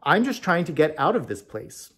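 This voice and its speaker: A man's voice: a little high, sing-song, moderate pace, fairly bright tone, husky, heavily acted. A man voicing a determined, weary yet bold traveling wizard in a storybook reading. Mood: apologetic.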